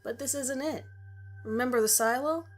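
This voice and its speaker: A woman's voice: high voice, normal speed, pitched above the performer's own voice. Woman as self-deprecating young guy, horror story hero, everyday voice, slightly lifted, faint music behind. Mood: reassuring.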